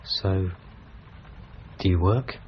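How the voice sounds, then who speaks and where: An actor in a radio drama playing a loyal, idealistic middle-aged travelling companion, earnest and pleading. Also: male voice, deep voice, very slow. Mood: puzzled, hurt. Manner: earnest, urging delivery. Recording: dull, slightly noisy radio recording.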